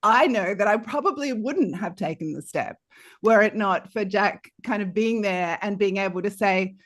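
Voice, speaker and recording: A woman's voice: medium pitch, normal speed, dry recording, clean studio sound. A woman podcaster in casual, thoughtful conversation, earnest and encouraging, rephrasing as she goes. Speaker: panel guest, podcaster.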